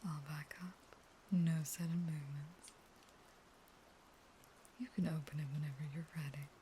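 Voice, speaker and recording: A woman playing a manipulative, unstable vampire wife in an intimate audio roleplay, speaking in halting, whispered pleas. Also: low voice, steady tone, mellow, a little hiss or hum. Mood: coaxing, pleading.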